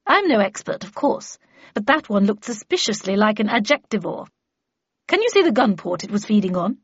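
A woman voicing an imperious, brisk older lady mentor in an audiobook, with clipped, commanding and pompous delivery. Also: medium pitch, big pitch swings, husky, no room sound, highs cut off. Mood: lecturing. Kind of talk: audiobook character dialogue.

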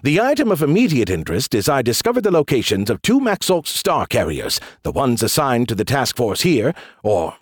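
A man's voice: medium pitch, wildly swooping pitch, normal speed, hardly any pauses, slightly rough, no echo. Give this audiobook part to a smug, sarcastic machine mind acted out by a man. He brags freely and takes offense easily, in a close, dry recording. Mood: boastful. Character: snarky, boastful, petulant male artificial intelligence. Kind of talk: audiobook character dialogue.

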